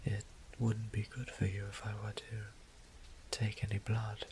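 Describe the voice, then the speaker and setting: A man playing a gentle, apologetic old vampire priest in an intimate audio drama, speaking in formal, hesitant phrases with a breathy half-whisper. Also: lowish voice, completely flat delivery, a little slow, a little hiss or hum, natural voice. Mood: hesitant, reluctant. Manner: hesitant, formal old-fashioned wording, tender.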